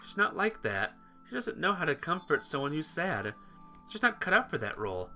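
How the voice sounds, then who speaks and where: A man's voice: on the high side, sing-song, moderate pace, sharp tone, soft background music. A man reading visual novel text aloud as dramatic, earnest, emotive story narration for a playthrough.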